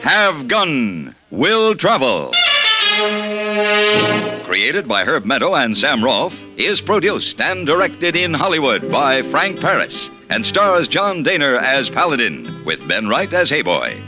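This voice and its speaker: A man's voice: medium pitch, very animated, takes it slowly. A man announcing the closing credits of a 1950s radio show in a formal, polished style. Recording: dull vintage radio recording. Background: loud brassy theme music.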